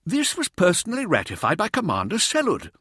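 A man's voice: high voice, wildly swooping pitch, a little fast, a bit bright, slightly rough, dry recording, studio quality, voice pushed up, full character voice. A man voicing an earnest, easily alarmed male civilian in an audiobook, urgent and protesting. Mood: insistent. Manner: urgent, pleading. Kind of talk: dramatised audiobook character voice.

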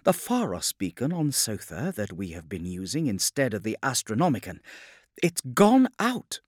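A man's voice: fairly low pitch, very animated, moderate pace, no room sound, studio quality. A man voicing an anxious, refined noblewoman who navigates starships, with an upper-class accent, in a sci-fi audiobook. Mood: afraid, insistent.

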